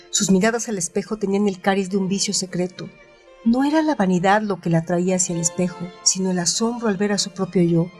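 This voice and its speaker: A woman giving a calm, even-paced scripted reading of a literary passage as a radio-theatre narrator. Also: medium pitch.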